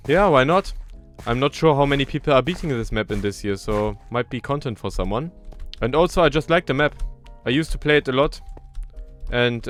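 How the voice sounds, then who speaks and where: A young man with a clear German accent chats loosely while playing a game, wandering between explanations and small asides. His voice sits at an ordinary middle pitch, and soft game music runs quietly behind him. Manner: chatty, self-deprecating, thinking aloud. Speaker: gaming YouTuber. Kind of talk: casual gameplay commentary.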